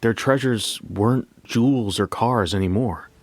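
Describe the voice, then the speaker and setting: A man narrating a scripted first-person story as a bank manager, in a measured, reflective way with deliberate pauses. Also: fairly low pitch, no echo. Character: bank manager recounting a memory heist.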